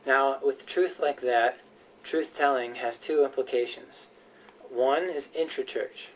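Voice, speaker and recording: Guy doing a preachy speech, earnest, stresses points, bit halting, mic sounds kinda far away. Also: mid-range voice, steady tone, slight background noise. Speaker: speaker giving a prepared speech. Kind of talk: persuasive church-themed speech, sermon-like. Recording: voice distant from the microphone.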